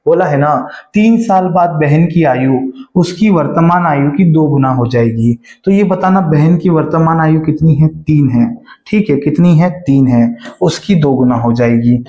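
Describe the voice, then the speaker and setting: A man's voice: a little high, dark tone, reverberant, muffled recording. A man teaching a math lesson for exam prep, explaining step by step and often checking that students follow. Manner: stretches key phrases slowly while reading the question aloud.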